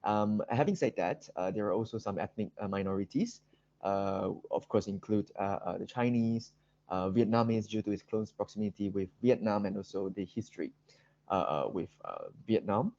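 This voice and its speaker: A man with a Malaysian accent giving an academic seminar presentation, speaking haltingly with very frequent ums and repeated words. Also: on the low side, darkish tone. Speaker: guest lecturer. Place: online video call seminar. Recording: dull, roomy video-call audio.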